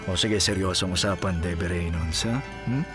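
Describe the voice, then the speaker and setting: A man's voice: deep voice, normal speed, over music, lightly acted. An actor playing a playful, charming policeman husband and doting father in a Filipino audio drama, teasing and breaking into song. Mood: playful, exuberant.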